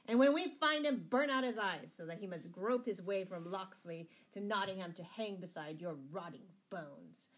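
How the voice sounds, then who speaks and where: A woman voicing a harsh, pompous male sheriff in a storybook, declaiming threats loudly. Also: high-pitched, unhurried, hardly any pauses, rough recording. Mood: vengeful.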